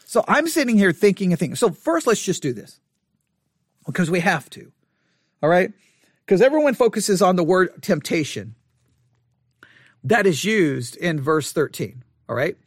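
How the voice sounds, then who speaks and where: Guy with a really high voice teaching bible study, stops and restarts a lot, dry sound. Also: sing-song, dry recording. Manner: halting, repeats words while working through a thought. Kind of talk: thinking-aloud Bible study lesson.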